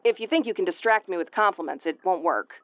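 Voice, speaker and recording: A woman's voice: lowish voice, expressive, quick pace, no echo, highs cut off. A woman playing a tough, prickly but secretly caring tsundere starship commander in an intimate audio roleplay, brusque and prone to stammering when flustered. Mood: guarded, teasing.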